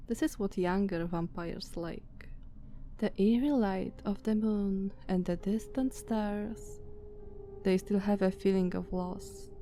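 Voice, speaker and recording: A woman with a Slavic accent voicing a dominant, haughty female vampire master in a soft, commanding ASMR roleplay. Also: mid-range voice, slight background noise, natural voice. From Slavic country. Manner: slow, soft, commanding, condescending.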